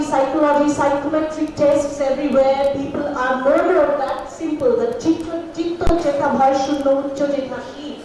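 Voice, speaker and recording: Brief: high-voiced woman emcee, polite, a bit halting, in a noisy echoing hall. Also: a little mellow. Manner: polite, with frequent hesitations. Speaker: conference emcee. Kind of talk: formal stage announcing. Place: large conference hall. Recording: echoey hall, far mic, ambient noise.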